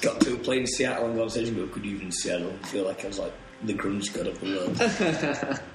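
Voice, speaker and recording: A man's voice: fairly low pitch, expressive, a little fast. A young man, a touring musician, rambling through tour stories on a podcast, laughing and swearing freely. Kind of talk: casual podcast storytelling. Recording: roomy echo, faint noise.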